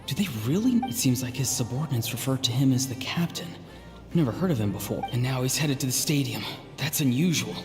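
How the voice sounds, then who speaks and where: A man's voice: mid-range voice, animated, a little fast, darkish tone, natural voice. Man as an earnest young mage, reverberant. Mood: wondering, uneasy. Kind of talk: dramatic video game acting. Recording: long hall reverb, game music underneath.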